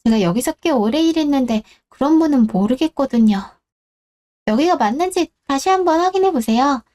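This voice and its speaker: A woman's voice: high-pitched, expressive, a little fast, a little mellow. A woman playing a polite, considerate supermarket clerk in a radio drama. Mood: apologetic, helpful. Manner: courteous, considerate. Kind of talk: Korean radio-drama story acting.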